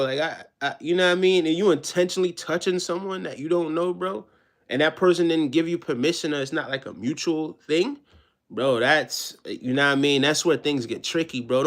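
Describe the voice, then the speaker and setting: A man touched by talk, chatting casually on a live stream in a slangy way, often checking that listeners follow. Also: a little high. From Jamaica. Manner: slangy, repeats check-in tag phrases.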